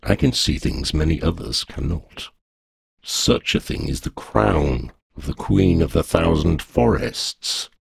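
A man reading a story, voicing a huge female dragon who speaks slowly with smug, rumbling menace. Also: lively intonation, creaky, crowd noise, heavily acted. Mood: amused. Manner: slow, rumbling, gloating.

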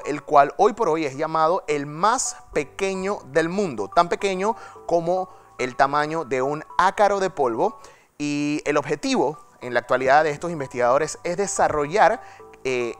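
A man presenting a technology segment in a TV news studio, talking in a chatty, dramatic way with fillers. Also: fairly high pitch. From Panama. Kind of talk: studio tech segment, conversational.

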